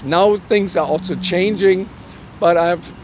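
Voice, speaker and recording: A middle-aged man with a German accent, a native German speaker, tells personal stories as an interview guest. His voice sits a little high, and he speaks earnestly and haltingly, often acting out bits of dialogue. He is recorded outdoors with faint vehicle noise around him. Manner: halting, earnest delivery with self-quoted dialogue. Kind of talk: personal storytelling in a conversational interview. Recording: outdoor street recording with traffic rumble.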